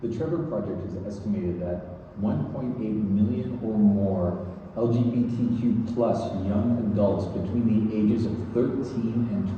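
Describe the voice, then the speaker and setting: Slow, mellow man reading in echoing theatre. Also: fairly low pitch, highs cut off. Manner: earnest, deliberate, emphatic. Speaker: stage performer. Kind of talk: dramatic staged reading of prose. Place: theatre stage. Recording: echoey theatre hall, distant mic, noise.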